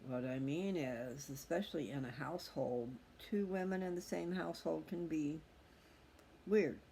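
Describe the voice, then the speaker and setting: A middle-aged man with a slightly high voice speaks in a loose, candid way, as if thinking aloud to his listeners. His talk is casual and reflective. There is noticeable background noise on the recording. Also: normal speed, mellow. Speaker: host of a personal video channel. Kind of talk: casual, rambling personal monologue. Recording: clearly audible background noise.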